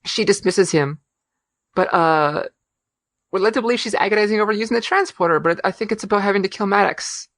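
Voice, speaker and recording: A woman's voice: medium pitch, a little fast, dry recording. A woman co-hosting a podcast, talking casually about a TV episode with many fillers and restarts. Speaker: podcast co-host. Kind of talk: casual chat.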